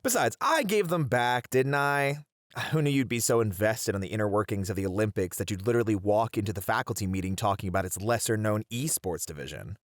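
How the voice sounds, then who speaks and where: A man's voice: lowish voice, animated, fairly quick, dry recording, clean studio sound, natural voice. A man playing a playful, theatrical colleague at a college who loves teasing, with a dramatic, flowery manner in an ASMR roleplay. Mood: teasing, amused.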